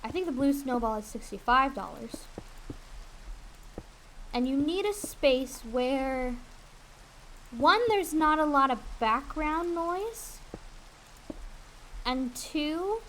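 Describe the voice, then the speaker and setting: Young female game streamer, higher-pitched, candid rambling chat, some background noise. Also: fairly bright tone, low-quality recording. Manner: candid, rambling, swears casually. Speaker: streamer and aspiring voice actress. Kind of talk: casual livestream chat while gaming. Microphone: USB desk condenser mic.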